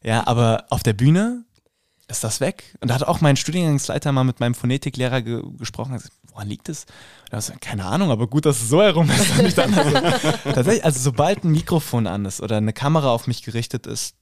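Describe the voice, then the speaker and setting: A young man with a light Hessian accent talks in an easy, unhurried way, often laughing and pausing on little fillers. His voice sits at an ordinary middle pitch. The recording is close and dry, with no room sound. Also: animated, darkish tone, no room sound. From Rüsselsheim, Germany. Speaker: guest, acting student. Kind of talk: casual interview chat.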